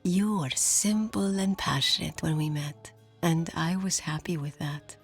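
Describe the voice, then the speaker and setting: Slow-spoken, wistful estranged partner. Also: female voice, low voice, breathy edge, soft background music, full character voice. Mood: wistful reproach. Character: Matilda, estranged female partner, gentle, wistful, reproachful. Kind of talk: dramatic game cutscene dialogue.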